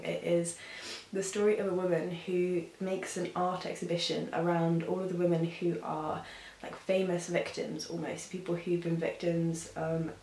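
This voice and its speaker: A young woman, hoarse from a sore throat, chatting casually about books with frequent ums. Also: on the low side, a little mellow. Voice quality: husky. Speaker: book reviewer. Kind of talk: casual chat to camera. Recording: roomy echo with faint hiss.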